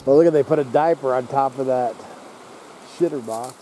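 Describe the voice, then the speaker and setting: A man's voice: medium pitch, normal speed, warm tone, slight background noise, rough recording. A man rambling casually as he films himself walking through mountain woods, often sidetracked. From Idaho. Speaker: outdoor vlogger. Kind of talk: casual running commentary while hiking. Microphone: handheld camera.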